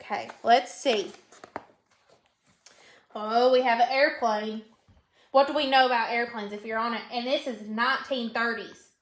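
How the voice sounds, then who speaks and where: A woman teacher reading a storybook aloud in an instructional way, pausing to put questions to her pupil and repeat answers. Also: fairly high pitch, fairly even intonation, fairly bright tone. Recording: echoey room.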